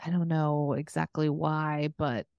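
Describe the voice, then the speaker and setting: A woman's voice: low voice, little pitch movement, measured pace, vocal fry, smooth, no echo, lo-fi sound. A woman co-hosting a podcast in casual comedic chat, giggly, rambling and candid. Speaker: podcast co-host.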